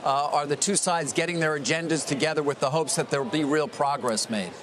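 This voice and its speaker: A man's voice: on the high side, brisk, noisy background. A man working as a news anchor, putting questions in a live television interview.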